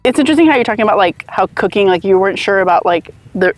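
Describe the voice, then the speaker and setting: A woman's voice: medium pitch, animated, rapid-fire, no echo, faint music behind. A young woman hosting a casual conversation, who fills her speech with "like" and keeps asking questions. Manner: chatty, many "like" fillers, asks questions. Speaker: host.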